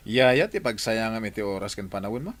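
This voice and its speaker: A man's voice: lowish voice, expressive, brisk, lightly acted. A man with an Ilocano accent playing a bossy, testy employer and uncle in a radio drama. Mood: friendly.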